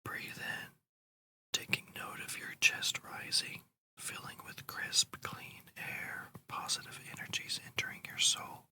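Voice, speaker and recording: A host whispering a guided ASMR meditation in a breathy, slow, soothing and deliberate way. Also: sharp tone.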